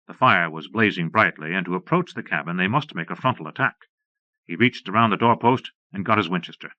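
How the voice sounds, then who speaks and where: A man's voice: low-pitched, no room sound. A man reading scripted western narration for an audiobook, recorded close and dry on a slightly dull cassette.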